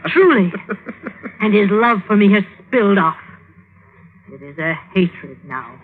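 A woman's voice: mid-range voice, expressive, a little slow, lightly acted. An actress playing an older, scheming and aggrieved noble mother in a theatrical, imploring radio drama style. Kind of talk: 1950s radio drama acting. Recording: muffled, noisy old radio recording.

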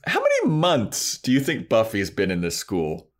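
A man's voice: fairly low pitch, huge pitch swings, moderate pace. A man co-hosting a podcast, casually recapping a TV episode in slangy, informal storytelling and paraphrased dialogue. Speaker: podcast co-host.

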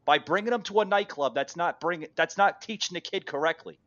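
A male talk show host debates politics in a somewhat high voice, with slightly lo-fi, muffled sound. He is insistent, restating phrases over and over to drive each point home. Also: fairly quick, fairly bright tone. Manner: insistent, repeats phrases for emphasis.

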